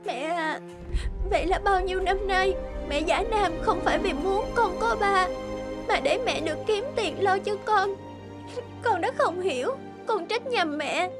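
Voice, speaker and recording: A woman voicing a sorrowful, devoted grown daughter in an animated morality tale, earnest and plaintive. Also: extremely high pitch, a little slow. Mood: tearful regret. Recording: music behind the voice.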